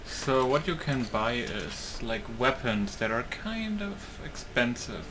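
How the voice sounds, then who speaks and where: A man giving a casual let's play commentary, thinking aloud in a halting way. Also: medium pitch, sing-song. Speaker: gaming YouTuber. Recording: clear game noise behind. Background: video game ambience.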